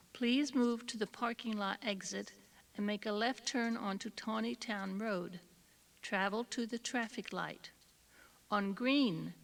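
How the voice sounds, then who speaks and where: A woman reading scripted instructions as an announcer, formal and measured. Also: mid-range voice, gravelly, a bit bright, distant mic. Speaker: announcer giving directions.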